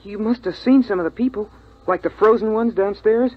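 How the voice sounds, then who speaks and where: A male actor playing an anxious, imaginative young boy who narrates a 1950s radio drama in an earnest, hushed way. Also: high voice, a little fast. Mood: eager. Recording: dull old radio recording with music.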